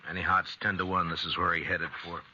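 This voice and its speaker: An actor playing a steady, compassionate male frontier marshal in a 1950s radio western. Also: low voice, fast talker, lightly acted. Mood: wary. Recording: dull, lightly noisy 1950s radio recording.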